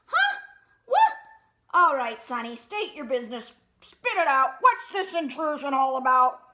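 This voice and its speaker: A woman voicing a grumpy old boss rabbit in a storybook, loud, gruff and indignant, weary of his duties. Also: sits high, big pitch swings, unhurried, exaggerated voice. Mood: irritated, indignant.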